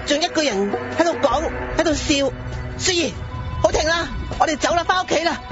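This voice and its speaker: A woman's voice: high-pitched, a little fast, voice pushed up, natural voice. Woman acting a puzzled, urging father, lo-fi. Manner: questioning, urging. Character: puzzled, concerned father calling his little daughter. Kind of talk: radio horror drama acting. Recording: dull lo-fi audio. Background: prominent background music.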